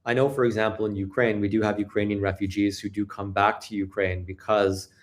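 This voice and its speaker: Measured Canadian man, low voice. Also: little pitch movement. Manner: measured, hedging with "I mean". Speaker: guest. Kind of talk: interview answers.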